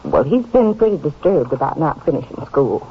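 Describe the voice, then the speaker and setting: A muffled old radio recording of a small-town American aunt. Her voice is husky, and she speaks politely and chattily with a troubled, obliging manner. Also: low-pitched, sing-song, normal speed, full character voice. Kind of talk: 1950s radio drama acting.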